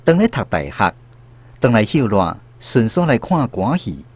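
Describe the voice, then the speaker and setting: A man's voice: on the low side, very animated, normal speed, slightly rough. A man with a Taiwanese accent narrating a radio drama as a storyteller. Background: music.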